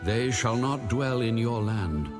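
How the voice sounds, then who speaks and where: A man narrating scripture in a solemn, measured, authoritative way, like an audio Bible. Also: mid-range voice, lively intonation. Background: background music.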